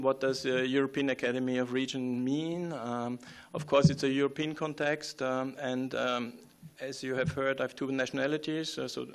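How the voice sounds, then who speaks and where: A man giving a conference talk, halting with many fillers and restarts and often clearing his throat. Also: medium pitch. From Austria. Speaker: conference speaker.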